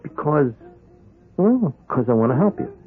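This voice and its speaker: An animated, wheedling young American hustler. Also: male voice, a little high, normal speed, phone-quality sound. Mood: urgent, pushing. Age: young adult. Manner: slangy, hesitant, nudging, tags phrases with "huh". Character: eager, wheedling young small-time hustler in his twenties. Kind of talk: old-time radio drama acting. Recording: band-limited radio drama with music.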